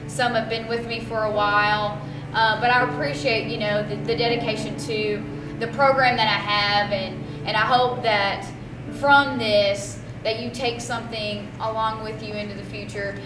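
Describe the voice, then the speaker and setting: A woman teacher reflecting casually in an interview, pausing often mid-sentence. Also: mid-range voice, steady tone, background noise. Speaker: high school theater teacher. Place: seated on a dark theater stage. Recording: roomy, distant mic, loud music behind.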